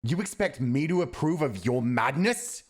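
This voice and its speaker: A man's voice: medium pitch, animated, moderate pace, breathy edge. A man playing a loyal, principled royal commander in a fantasy audio roleplay, speaking in a grave, formal and dutiful manner. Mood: indignant, threatening.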